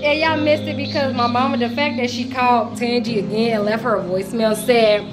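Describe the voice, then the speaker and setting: Young woman, American accent, high voice, casual vlog chat, playful and slangy, music behind. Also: a little fast. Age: young adult. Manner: animated, slangy, playful teasing. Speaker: vlogger. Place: bright open-plan apartment kitchen and living room. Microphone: handheld camera.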